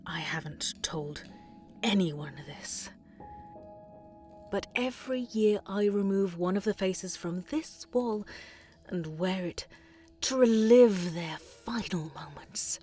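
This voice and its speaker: A woman playing a cruel, indulgent alien tyrant queen in an audio drama, menacing and breathy, often sinking into a whisper. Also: mid-range voice, lively intonation, takes it slowly, darkish tone, soft background music. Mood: wistful, sinister.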